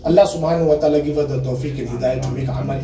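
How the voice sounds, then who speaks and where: A man's voice: mid-range voice, steady tone, rapid-fire, warm tone, distant mic. A man in his thirties giving an earnest sermon at a memorial gathering, slipping Urdu and Arabic phrases into his English and repeating words to stress them. He talks seated at a panel table, holding a handheld mic. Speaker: religious speaker. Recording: echoey hall, mic held away.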